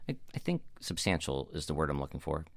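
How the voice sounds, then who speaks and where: An actor playing a charming, eager, optimistic young theater dreamer in an audio drama, with a playful, glib, upbeat manner. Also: male voice, extremely low pitch, sing-song, quick pace, vocal fry, no room sound, clean studio sound, full character voice. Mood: wry, determined.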